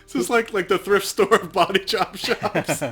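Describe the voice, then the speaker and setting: A man's voice: high voice, normal speed, bright, forceful tone. A man co-hosting a let's play, chatting playfully and reading on-screen game text aloud. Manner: jokey, playful. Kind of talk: casual gaming chat and narrating on-screen text.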